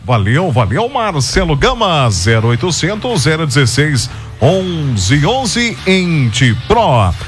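A man's voice: fairly high pitch, slow. A man hosting a radio sports show, reading out a phone number and passing questions to a commentator. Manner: lively, moving between segments. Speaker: radio host. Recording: radio broadcast with music behind.

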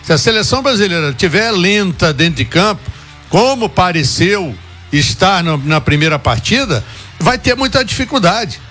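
A man commentator sounds off with firm opinions on a radio football talk show. His voice is high and bright, with faint music running underneath. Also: sing-song, moderate pace. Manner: opinionated, confident. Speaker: sports commentator. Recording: radio broadcast with music behind.

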